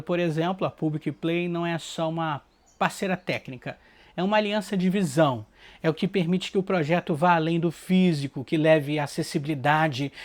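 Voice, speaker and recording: A narrator with a Brazilian accent delivers reflective scripted narration in earnest, short, listed phrases. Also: medium pitch. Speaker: book project organizer and narrator. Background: background music.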